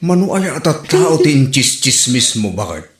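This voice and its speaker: A man's voice: mid-range voice, big pitch swings, unhurried. Exasperated village husband, male actor, Ilocano Filipino accent, bantering comedy delivery, echoing room. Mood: scolding, teasing. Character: exasperated village husband, teasing his gossiping wife. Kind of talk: Ilocano comedy radio-drama acting.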